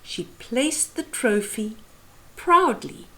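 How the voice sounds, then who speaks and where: A woman in her fifties reading a children's picture book aloud expressively, with deliberate phrasing for young listeners. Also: a little high, very animated, pushed and bright, poor audio. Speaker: storyteller host. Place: small room at home, indoors.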